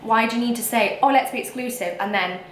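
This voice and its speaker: A woman's voice: fairly high pitch, fast, echoey room, slight background noise. A young woman with a whiny voice, ranting frankly to camera in a casual vlog. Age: young adult. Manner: emphatic and frank, with frequent fillers. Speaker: vlogger.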